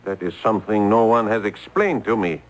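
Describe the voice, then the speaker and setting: An actor playing a hungry, comically aggrieved painter in a radio drama, protesting and wheedling. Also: male voice, lowish voice, a little fast, lightly acted, poor audio. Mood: aggrieved, plaintive. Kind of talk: old-time radio drama acting.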